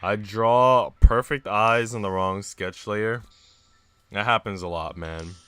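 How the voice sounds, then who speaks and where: A man's voice: lowish voice, slow pace, fairly bright tone, clean tone. A man live streaming in an informal, slangy way, moving between casual chat and reading aloud.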